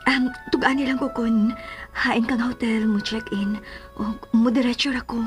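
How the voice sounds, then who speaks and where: A woman acts out a smitten but guarded female lover in a radio serial. Her voice sits very high and plays coy, over a light bed of music. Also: fairly even intonation, moderate pace, lightly acted. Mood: coy, hesitant. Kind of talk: radio drama acting.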